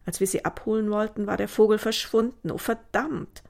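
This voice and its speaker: Storybook role: anxious, bewildered schoolgirl, played by a woman, agitated, halting, lifted pitch. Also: fairly even intonation, creaky, darkish tone, lightly acted. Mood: upset. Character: Nila, modern young girl, bewildered and anxious.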